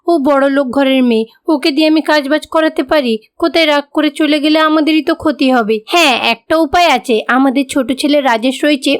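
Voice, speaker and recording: A woman plays a greedy, scheming cartoon mother-in-law of middle age. She is domineering and argumentative, in a high-pitched voice. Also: close to an everyday voice.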